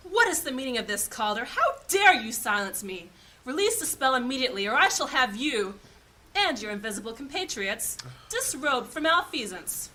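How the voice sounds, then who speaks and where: A woman playing an imperious high mage. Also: sing-song, moderate pace, pushed and bright, exaggerated voice, rough recording. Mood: indignant. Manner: haughty, commanding.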